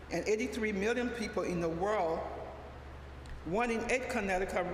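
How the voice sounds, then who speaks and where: A middle-aged woman from Liberia, reading a sermon in a measured, deliberate way with a few restarts. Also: medium pitch. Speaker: lay preacher, social worker. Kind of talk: sermon read from a prepared text. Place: church sanctuary. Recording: echoey church hall, faint background hum.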